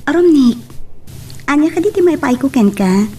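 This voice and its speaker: A woman's voice: sits high, animated, moderate pace, warm tone. A woman playing a bashful young woman in a radio romance drama, with a Filipino accent. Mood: troubled, surprised. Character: Veronica, bashful young woman in love story.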